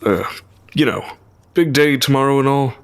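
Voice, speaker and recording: A man voicing a tired, distracted boss working late, in an animated comic dub. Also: mid-range voice, normal speed, slight background noise, natural voice. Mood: weary, dismissive. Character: weary male boss working late in a cartoon.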